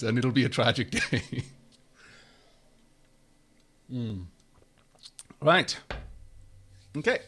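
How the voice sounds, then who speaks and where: A fairly high-voiced man chatting on a live gameplay stream, rambling along with dry, wry humor and thanking viewers by name. Soft game music plays quietly in the background.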